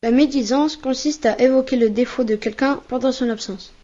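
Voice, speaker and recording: A woman's voice: high voice, moderate pace, dark tone, dull audio, lightly acted. A woman voicing an earnest, conscientious schoolboy in an educational children's cartoon. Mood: attentive.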